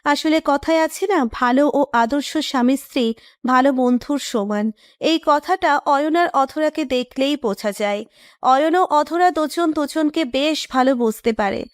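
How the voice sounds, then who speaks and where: A woman's voice: sits high, dry recording, clean studio sound. A woman reading scripted narration for a romantic audio story as its narrator.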